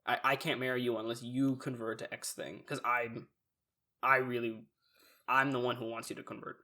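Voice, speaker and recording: A man's voice: medium pitch, flat delivery, normal speed, pushed and bright. Thoughtful young man asking questions. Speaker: podcast co-host. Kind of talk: casual chat.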